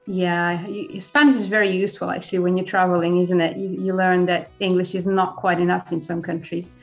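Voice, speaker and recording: A woman's voice: fairly low pitch, little pitch movement, a little fast, lo-fi sound, soft background music. A middle-aged woman born in Serbia, hosting a casual interview in a friendly way and laughing often. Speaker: host.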